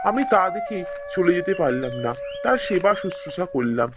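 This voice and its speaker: A man playing an earnest, courteous young prince in an animated fairy tale, speaking formally and politely. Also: high voice, normal speed, background noise.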